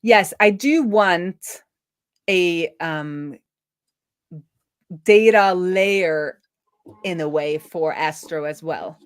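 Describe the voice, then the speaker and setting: A woman's voice: low voice, clean tone, no room sound, clean studio sound. A woman hosting a casual live coding stream, thinking aloud as she explains technical things informally. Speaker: live-streaming web developer and host.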